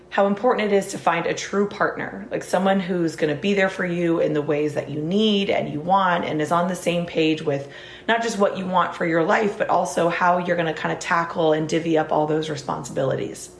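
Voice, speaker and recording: Low-voiced, slightly hoarse woman podcast host. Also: roomy sound, slight background noise. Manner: chatty, lots of "like" and restarts. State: a little hoarse. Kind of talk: casual interview chat and solo outro.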